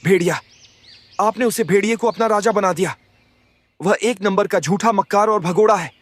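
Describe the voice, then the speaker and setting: A man voicing a loyal young wolf commander in an animated fable, respectful and eager in manner. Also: high-pitched, moderate pace, a little hiss or hum, lightly acted. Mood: indignant. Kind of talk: Hindi animated moral story dialogue.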